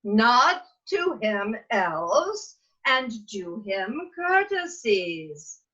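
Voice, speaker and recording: A woman playing a regal, doting fairy queen in a Shakespeare table read, speaking measured verse theatrically over a video call. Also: fairly high pitch, very animated, bright, forceful tone, exaggerated voice. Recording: muffled video call audio.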